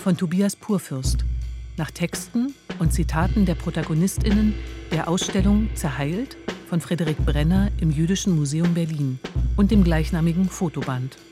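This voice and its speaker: A woman's voice: fairly low pitch, normal speed, music in the background. A woman announcer reading a scripted introduction to a radio play.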